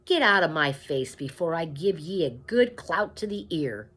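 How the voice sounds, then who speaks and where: A woman's voice: deep voice, sing-song, moderate pace, a bit bright, slightly rough, heavily acted. A woman voicing a mean, curt rustic uncle with folksy speech in a storybook reading. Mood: threatening. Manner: curt, threatening, folksy dialect. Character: gruff, mean uncle, rustic shepherd man.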